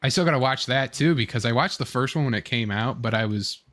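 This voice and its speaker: A young adult man with an average-pitched voice hosts a casual online fan show. He rambles through his commentary, starting and restarting phrases and pausing often as he works out his point. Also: a bit bright. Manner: rambling, halting, fragmented phrasing.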